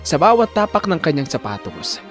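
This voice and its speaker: A man's voice: fairly high pitch, big pitch swings, brisk. A man narrating a scripted audio drama in a suspenseful, theatrical manner. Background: music.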